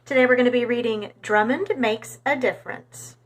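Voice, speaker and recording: A woman reading a storybook aloud for young children in an expressive, animated storytelling manner. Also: on the high side, moderate pace.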